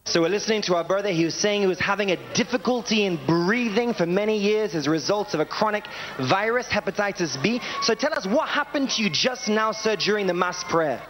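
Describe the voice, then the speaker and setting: A man's voice: high voice, expressive, a little fast, fairly bright tone, a little hiss or hum, low-quality recording. A man presenting testimonies at a live event, asking questions and recapping the answers. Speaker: testimony interviewer.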